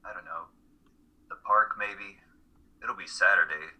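A young man acts out a tired, apologetic college student in an audio play. He is slow and halting, with a crisp, bright edge to a subdued delivery. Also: low voice, fairly even intonation, full character voice. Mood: quiet, tentative. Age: young adult. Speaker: actor in a dramatic reading. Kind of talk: radio-play style dramatic reading.

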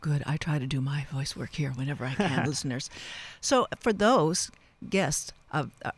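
Male radio host, slightly high voice; casual, wandering interview style with self-corrections, close studio microphone. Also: lively intonation, moderate pace, slightly rough. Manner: chatty, rambling, self-correcting. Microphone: close studio broadcast mic.

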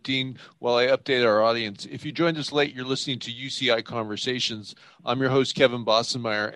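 A man speaks as a radio interview host. His pitch is average for a man and his delivery is conversational. The sound is dry, with no room echo. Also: fairly bright tone.

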